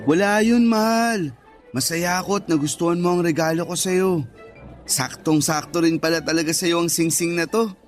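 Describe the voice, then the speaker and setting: An actor playing a sweet, devoted young boyfriend in a Tagalog radio romance, earnest and affectionate. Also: male voice, a little high, normal speed, natural voice. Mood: loving. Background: faint music.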